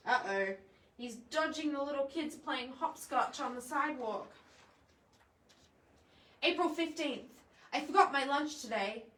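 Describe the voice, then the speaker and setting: Animated high-voiced woman reading a picture book. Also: a little slow, long pauses. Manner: playful, expressive, chatty asides to young listeners. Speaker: library storytime presenter. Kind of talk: children's picture book read-aloud with asides. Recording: echoey room, distant microphone.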